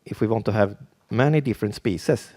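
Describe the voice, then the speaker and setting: A Swedish man with a Swedish accent, giving a conference presentation in English as a second language, halting and full of restarts. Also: fairly low pitch, warm tone. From Sweden. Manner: halting, with frequent restarts and fillers. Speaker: conference speaker.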